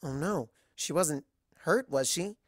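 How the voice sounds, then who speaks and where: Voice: medium pitch, slow, no echo, clean studio sound. Narrator voicing a nervous, babbling young pony mare. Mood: earnest. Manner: babbling, over-explaining.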